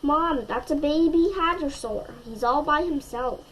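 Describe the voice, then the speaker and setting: A woman's voice: sits high, a little slow, fairly bright tone, slight background noise, pitched above the performer's own voice. A woman voicing an eager, earnest little boy with a coaxing manner in a storybook. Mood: pleading. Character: eager, pleading little boy who loves dinosaurs.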